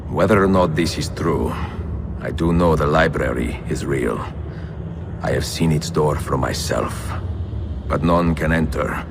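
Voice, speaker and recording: An actor playing a weathered, grave and determined Italian master assassin in a cinematic video game, with an Italian accent. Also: male voice, very deep voice, background noise.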